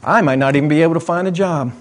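A man with a high-pitched voice delivers a sermon to his congregation. He is middle-aged and talks casually, rising into eager emphasis and then dropping into low, mumbled asides. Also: racing. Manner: conversational, swinging between excited highs and muttered asides. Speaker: pastor.

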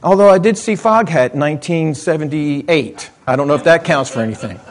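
A man with a high-pitched voice delivers a sermon to his congregation. He is middle-aged and talks casually, rising into eager emphasis and then dropping into low, mumbled asides. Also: brisk. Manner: conversational, swinging between excited highs and muttered asides. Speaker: pastor.

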